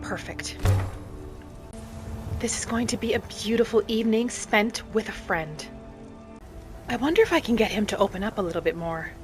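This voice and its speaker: A woman plays a gentle wood elf wanderer in a cozy fantasy game. She muses in the first person and asks questions with soft curiosity. Light music sits behind her. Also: medium pitch, lively intonation, normal speed, long pauses, natural voice. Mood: cozy musing. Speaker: gamer narrating a roleplay as her character. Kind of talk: first-person in-character roleplay narration.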